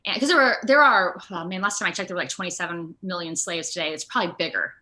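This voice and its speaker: An American woman from Ohio talking casually as an interview guest, earnest and animated, rambling from point to point. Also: fairly low pitch, fast talker. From Ohio, United States. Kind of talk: casual online interview chat.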